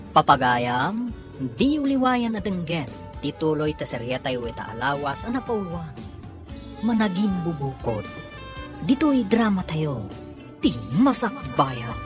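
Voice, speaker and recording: A woman with an Ilocano accent announcing a radio drama. Her low voice moves with lively, measured emphasis. Clear music plays behind her. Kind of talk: scripted radio narration.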